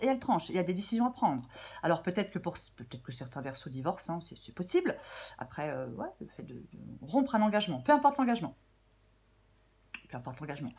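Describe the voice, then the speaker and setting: A woman with a French accent gives a casual tarot reading, her voice a little hoarse and husky. She speaks in a fairly low register, thinking aloud with frequent fillers, pauses and restarts. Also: darkish tone. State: slightly hoarse. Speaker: tarot reader.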